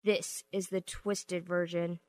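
A woman's voice: lowish voice, level tone, slow. A woman giving a scripted storytelling introduction as narrator. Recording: loud music behind the voice.

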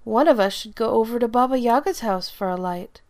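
A woman's voice: fairly high pitch, a little fast, a little mellow, lightly acted. A woman playing a sly, dismissive young stepsister with an affected drawl in a fairy tale audio drama.

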